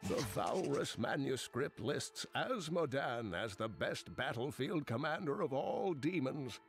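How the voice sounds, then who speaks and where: A learned game scholar reading out dark lore. His voice is mid-pitched and expressive, solemn and measured, with faint music behind. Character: learned male scholar narrating demon lore, grave. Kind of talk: scripted lore narration in video game.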